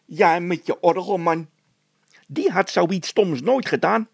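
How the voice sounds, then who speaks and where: A man's voice: high-pitched, slow pace, gravelly, husky, dry recording, pitched above the performer's own voice, heavily acted. A man voicing a dim, stubborn workman who whines and stammers evasively in a storybook. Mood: accusing.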